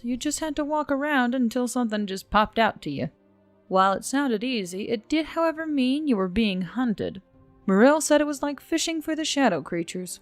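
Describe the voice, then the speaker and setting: A woman reading scripted fiction as a narrator, expressive and animated, with wry humour. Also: medium pitch, no room sound. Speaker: audiobook-style narrator. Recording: dry close voice over music. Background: background music.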